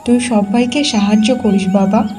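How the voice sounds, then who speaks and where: A woman voicing a kindly, weary village mother in a cartoon, gently coaxing and advising. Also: on the high side, moderate pace, soft background music, lightly acted. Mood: gentle, earnest. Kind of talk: Bengali cartoon voice acting.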